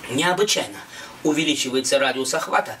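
A man's voice: medium pitch, lively intonation, brisk, sharp tone, slight background noise. A middle-aged man narrating his own scripted story in a dramatic, emphatic, theatrical way. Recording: music behind a slightly distant voice.

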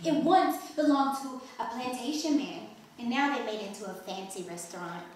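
A young woman in a staged play reading, playing a bright, chatty, boastful twelve-year-old girl from Trinidad. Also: a little high, brisk, close to an everyday voice. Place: wood-panelled mansion room, indoors. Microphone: distant camera microphone. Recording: very echoey room, distant mic, faint hiss.

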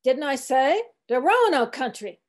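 Lady doing a really old sullen sea cook, highish voice, muttery dialect, storybook reading. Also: expressive, measured pace, darkish tone. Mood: testy. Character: grumbling, weary ninety-year-old male ship's cook. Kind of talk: literary audiobook character reading.

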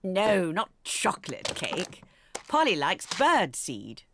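Doting granny pig, preschool cartoon, gentle, slow, richly swooping intonation. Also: female voice, fairly low pitch, huge pitch swings, noisy background, exaggerated voice. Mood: amused, indulgent. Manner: gentle, unhurried, explaining to small children. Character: kindly, doting grandmother pig.

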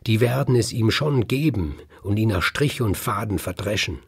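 Man telling it as a gruff, impatient farmer. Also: fairly low pitch, lively intonation, moderate pace, voice pushed up, exaggerated voice. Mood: vindictive. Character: impatient, vengeful male farmer in a folk tale.

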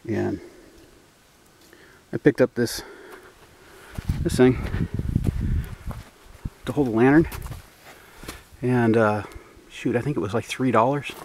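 A man's voice: medium pitch, takes it slowly, long pauses, poor audio. Guy chatting on a canoe trip, laid back, lots of uhs, faint outdoor hiss. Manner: relaxed, rambling, many fillers. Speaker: outdoor vlogger. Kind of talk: casual outdoor narration.

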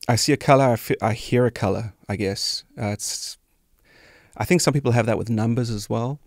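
A man's voice: medium pitch, brisk, darkish tone, no room sound. A South African man in his forties, a musician guest, chatting casually and reflectively into a close studio microphone. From South Africa, grew up in Cape Town. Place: small indoor podcast studio. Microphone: close studio microphone on arm.

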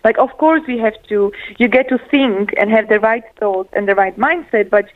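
A woman's voice: on the high side, normal speed. A woman from Slovakia, a guest speaking over a call, enthusiastic and laughing often. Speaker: guest, live show host. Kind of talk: casual interview on a call. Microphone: remote call. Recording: phone-like remote call line.

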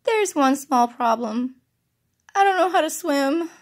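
Casting: excitable, clumsy young cartoon heroine, played by a woman, very high voice, slow theatrical delivery. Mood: confused, flustered. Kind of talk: amateur cartoon voice audition.

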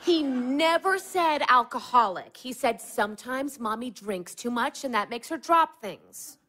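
An exasperated American grown daughter, has a high, lively voice. She is defensive, emotional and argumentative, in naturalistic audio drama style. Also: a little slow, background noise. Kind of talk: naturalistic stage-play acting.

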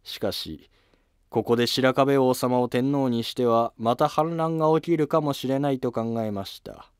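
A man's voice: medium pitch, moderate pace, clear, resonant tone, dry recording, lightly acted. Elderly royal court minister, played by a man: shrewd, formal, deferential, historical drama, dry recording. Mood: grave, resigned.

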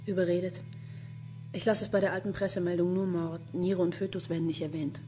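A lowish-voiced actress playing a woman police investigator in a crime radio play. She is sharp, wry and matter-of-fact, with music behind her. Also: little pitch movement, fairly quick, natural voice. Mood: dry, businesslike. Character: sharp-witted, unimpressed female police investigator. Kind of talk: German crime radio drama acting.

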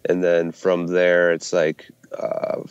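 A man with a deep, steady, even voice talks about his brewery in a halting, casual way, full of fillers and restarts. His tone is smooth and clear. The recording is dry and slightly muffled. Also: dry recording. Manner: hesitant, many fillers and restarts. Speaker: brewery co-founder, guest. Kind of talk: casual interview answers. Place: Ohio hometown brewery.

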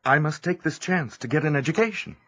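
An actor playing an earnest, determined young farm lad who longs to be a scholar, in an audio drama. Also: male voice, on the high side, expressive, a little fast, fairly bright tone. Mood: earnest, resolute. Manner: earnest, emotive. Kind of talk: audio drama acting and first-person narration.

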